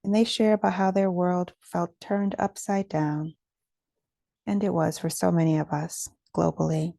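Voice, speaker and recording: A woman leading a guided meditation in a gentle, soothing, measured way, with pauses between phrases. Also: fairly low pitch, fairly even intonation, vocal fry, dry recording, clean studio sound.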